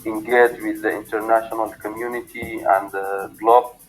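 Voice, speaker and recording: Halting man in his thirties. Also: on the low side, little pitch movement, faint music behind, low-quality recording. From Sudan. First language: Arabic. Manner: halting, many restarts and fillers. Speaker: PhD student interviewee. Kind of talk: academic interview answers.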